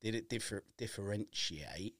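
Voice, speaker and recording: A man's voice: fairly low pitch, normal speed, a bit bright, dry recording, clean studio sound. A man hosting a podcast interview, chatty and jokey, asking long rambling questions with lots of fillers. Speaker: podcast host. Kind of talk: casual interview chat. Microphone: video podcast setup with camera.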